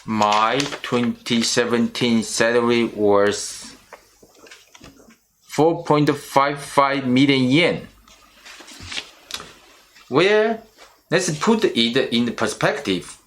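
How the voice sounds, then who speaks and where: Slow-speaking middle-aged man, Chinese accent. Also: medium pitch, lively intonation, a bit bright, a little hiss or hum. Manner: slow and careful, with restarts on small words. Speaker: channel host. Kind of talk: personal vlog monologue.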